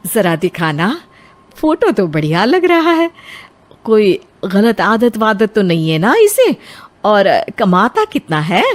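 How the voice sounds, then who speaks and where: Medium-pitched, coaxing mother, voiced by a woman. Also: very animated, slow pace. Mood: curious, agreeable. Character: persuasive, conventional mother of a grown daughter. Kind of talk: animated moral story acting.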